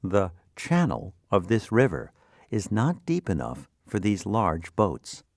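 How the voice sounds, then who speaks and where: A man narrates a scripted vocabulary lesson in a fairly low voice. His delivery is slow and deliberate, with careful instructional enunciation. The recording is close and dry. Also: wildly swooping pitch, gravelly, dry recording.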